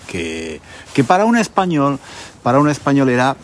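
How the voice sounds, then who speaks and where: A man with an accent from Spain telling stories conversationally as a language podcast host, deliberately repeating phrases for emphasis. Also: medium pitch, huge pitch swings, a little hiss or hum. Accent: Spanish, from Spain. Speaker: podcast host, Spanish teacher. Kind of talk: conversational storytelling for language learners.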